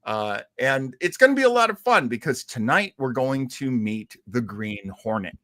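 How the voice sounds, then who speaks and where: A man hosting a radio show, telling stories in a casual, animated and deliberate way with emphatic repetition. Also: medium pitch, no echo, studio quality. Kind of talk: casual storytelling talk show.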